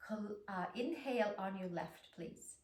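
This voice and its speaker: A woman with a Swedish accent gently guiding a yoga class, calm and soothing as she talks students through breathing. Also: medium pitch, a little slow. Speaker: yoga instructor. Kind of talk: guided yoga class instruction. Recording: roomy echo, distant mic.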